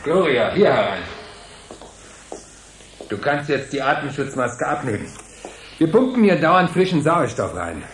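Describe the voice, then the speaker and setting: Brisk laboratory guide, proud, animated pitch, radio satire, echoing noisy room. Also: male voice. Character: proud male laboratory host showing his androids. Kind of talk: radio play science fiction satire acting. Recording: echoey room, background noise.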